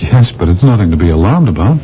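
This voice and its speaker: An actor playing a reluctant young space captain, who also narrates, in a 1970s radio drama. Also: male voice, low voice, big pitch swings, fast talker, a little hiss or hum. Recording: dull old radio recording with music.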